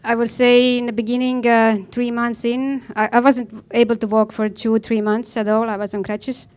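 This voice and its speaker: Clear-voiced woman with an Estonian accent. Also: a little high, level tone, moderate pace, a little mellow. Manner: blunt, informal, non-native phrasing. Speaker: panel guest, professional snowboarder. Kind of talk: conversational personal account on a panel.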